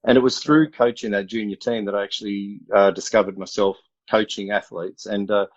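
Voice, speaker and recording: A man in his fifties with an Australian accent, an athletics coach telling stories in a relaxed, rambling way over a video call. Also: fairly low pitch, fairly quick, no room sound, muffled recording. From country New South Wales, Australia. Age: 50s. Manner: relaxed, rambling, many uh fillers. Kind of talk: casual remote interview storytelling.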